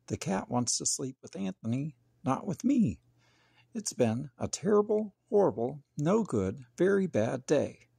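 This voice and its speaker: An animated narrator reads a children's picture book aloud in the person of a grumpy young boy. The voice is heavily creaky and mid-pitched, with dramatic, emphatic phrasing. The sound is close and dry. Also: dry recording. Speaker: storybook narrator. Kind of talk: expressive children's storybook read-aloud.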